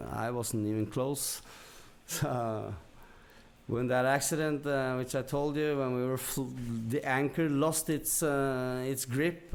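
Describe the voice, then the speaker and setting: Podcast guest: Norwegian-accented man, medium pitch, casual storytelling, halting as he searches for words. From Norway. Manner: hesitant, searching for words in non-native English. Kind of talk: casual anecdote telling.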